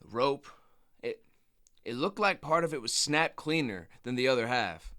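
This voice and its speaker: A man's voice: mid-range voice, animated, moderate pace, bright, forceful tone. A man playing a proud, stubborn injured bull rider with an American accent, guarded and hesitant, in a naturalistic radio drama. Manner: guarded, hesitant, plain-spoken.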